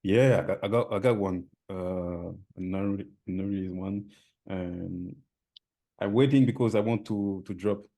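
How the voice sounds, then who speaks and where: A soft-spoken man with a slight foreign accent answers haltingly and restarts his phrases. His voice is deep and mellow. He sounds modest and thoughtful. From Switzerland. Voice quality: low voice. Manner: hesitant, many restarts, soft-spoken, laughs shyly. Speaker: musician guest. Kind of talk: interview answers.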